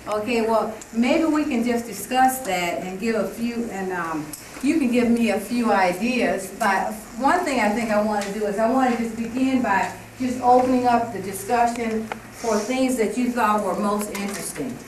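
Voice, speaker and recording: A woman teacher runs her class with a firm, directive manner, prompting students with quick questions. She is heard from a distance across a roomy, echoing classroom. A faint hiss sits under the recording. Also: fairly high pitch. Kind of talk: leading a classroom discussion. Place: school classroom.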